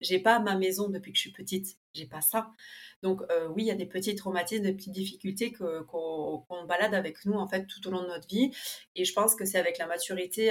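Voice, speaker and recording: A woman guest in a casual interview, talking with many fillers and restarts, and livelier when she tells a story. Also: on the low side. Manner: lively when telling an anecdote.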